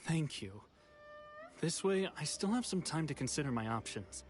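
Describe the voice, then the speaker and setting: A man's voice: a little high, sing-song, moderate pace. A man playing a weary, resigned would-be exile in a video game, speaking in a subdued way. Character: weary, resigned male exile seeking escape. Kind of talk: video game character acting. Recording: faint music behind the voice.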